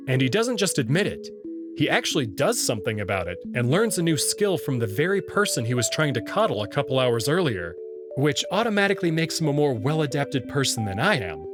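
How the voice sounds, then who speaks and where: A man giving scripted narration and commentary as a host, with wry, playful asides. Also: medium pitch. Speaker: host reading a visual novel and commenting on it.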